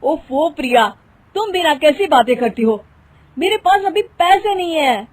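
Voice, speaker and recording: A woman's voice: sits high, lively intonation, a little slow, voice pushed up. A woman voicing a patient, gullible young husband in a moral story, exasperated but soothing and indulgent. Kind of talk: acted Hindi moral-story dialogue.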